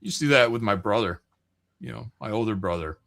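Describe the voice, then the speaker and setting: A man's voice: lowish voice, animated, brisk, vocal fry, a bit bright. A man hosting a livestream, chatting casually and reading out viewer comments in an irreverent, joking, profane way. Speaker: livestream host.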